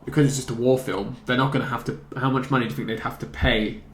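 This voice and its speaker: A man's voice: mid-range voice, fairly even intonation, fast. A man hosting a casual, opinionated chat among friends, recorded in a roomy space. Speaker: host of a video game chat show. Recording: roomy, echoey indoor pickup.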